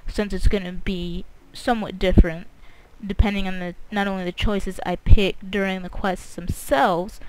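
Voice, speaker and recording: A woman hosting a gameplay video in a casual, rambling, halting way, recorded with her mouth very close to the mic. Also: mid-range voice, fairly even intonation, darkish tone, low-quality recording. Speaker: gamer hosting a video series. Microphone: mouth very close to microphone. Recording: breathy close-mic overload, clicks, faint noise.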